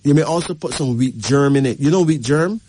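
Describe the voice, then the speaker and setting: A man's voice: mid-range voice, a little fast, mellow, dry recording. A man hosting a show, giving an emphatic numerology reading and checking in with the caller again and again. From Barbados. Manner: emphatic, repeats "right?" to the caller. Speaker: radio host.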